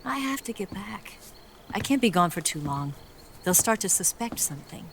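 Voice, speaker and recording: A woman's voice: medium pitch, sing-song, normal speed, a little hiss or hum. A woman playing a cool, teasing spy in a video game cutscene, poised and coy in her delivery. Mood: briefing, matter-of-fact. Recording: night insect ambience behind the voice.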